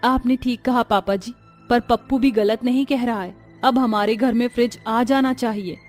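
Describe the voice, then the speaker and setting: A woman's voice: a little high, fairly even intonation, moderate pace, a little mellow, soft background music, natural voice. A woman playing a patient, worried young daughter-in-law and mother in a cartoon story, earnest and respectful. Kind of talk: Hindi cartoon story dubbing.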